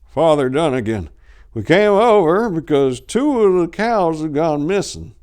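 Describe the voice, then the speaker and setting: A man voicing an elderly farmer, worried but steady, with a folksy, insistent manner, in a story reading. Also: a little high, unhurried, clear, resonant tone, voice pushed up.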